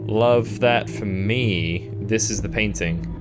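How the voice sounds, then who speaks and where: A male streamer doing a live reaction, reading text aloud and adding sarcastic, deadpan asides. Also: lowish voice, slow. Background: music.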